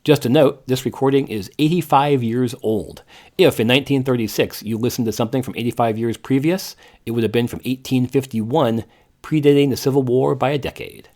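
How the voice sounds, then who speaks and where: A man's voice: medium pitch, fairly quick, a little mellow. A man hosting a podcast, reading a plain, informative scripted introduction. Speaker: podcast host.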